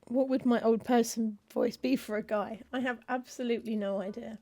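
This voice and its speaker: A woman's voice: a little high, fairly even intonation, normal speed, mellow. A woman chatting casually as she plays a cozy game, muttering through lists of book titles with wry asides. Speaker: streamer playing a cozy game. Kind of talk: casual gameplay commentary, reading game text aloud.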